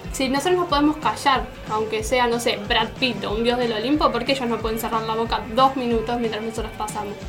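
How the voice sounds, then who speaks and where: An actress plays a young Argentine student in a radio play, speaking in a high voice over clearly audible music. She is colloquial, sarcastic and easily exasperated. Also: fairly even intonation, quick pace, hardly any pauses, lightly acted. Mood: exasperated, indignant. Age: young adult. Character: indignant young female student venting to a friend. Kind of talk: naturalistic radio drama dialogue.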